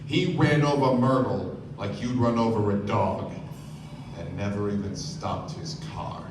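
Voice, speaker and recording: A man's voice: fairly low pitch, a little slow, over music, close to an everyday voice. A man playing an arrogant, defiant wealthy man in a staged radio play, blunt and self-justifying. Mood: defiant, bitter. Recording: echoey hall, distant mic, noisy.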